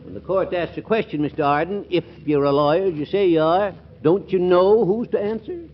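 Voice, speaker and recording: An actor playing a crotchety, absent-minded old judge in a 1940s radio comedy, testy and muttering, on a muffled, noisy recording. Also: male voice, sits high, fairly quick, lightly acted. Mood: testy, impatient. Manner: testy, muttering, stumbling over long words.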